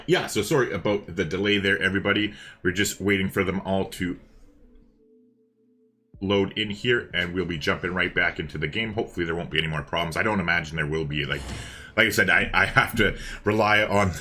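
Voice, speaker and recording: Male stream host, deep but crisp-edged voice, casual and chatty live delivery. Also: pushed and bright. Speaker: host and co-caster of a gaming livestream.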